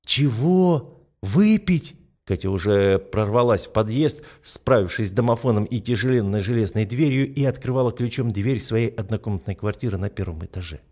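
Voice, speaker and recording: A man's voice: fairly low pitch, brisk, hardly any pauses, mellow. A man reading fiction as a scripted audiobook narration.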